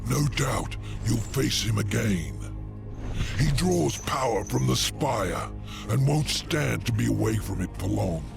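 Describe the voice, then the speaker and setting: An actor playing a sly, menacing imprisoned demon lord in a video game, with theatrical, taunting delivery. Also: male voice, mid-range voice, expressive, slow pace, vocal fry, over music, heavily acted. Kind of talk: video game cinematic acting.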